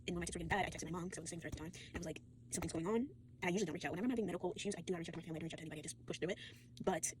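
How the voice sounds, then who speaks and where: A woman's voice: lowish voice, noisy background. A tired, sore teenage girl rambling casually to a phone, full of fillers, restarts and swearing. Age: late teens. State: fatigued and sore. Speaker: vlogger.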